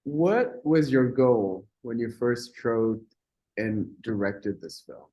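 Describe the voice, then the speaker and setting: A man interviewing a guest for a film festival in an informal, halting way, searching for words. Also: mid-range voice, expressive, dark tone, dull audio.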